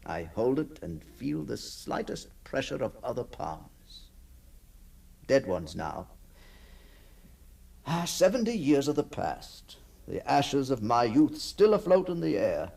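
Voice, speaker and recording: An actor playing a genteel middle-aged gentleman haunted by his past, musing in a refined, theatrical way in a radio drama. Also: mid-range voice, sing-song, slow pace, creaky, heavily acted. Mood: wistful, brooding. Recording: old radio recording with faint noise.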